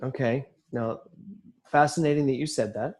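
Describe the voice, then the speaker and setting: A man's voice: mid-range voice, normal speed, darkish tone. Man leading a probing group discussion. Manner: probing, directive. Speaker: group leader.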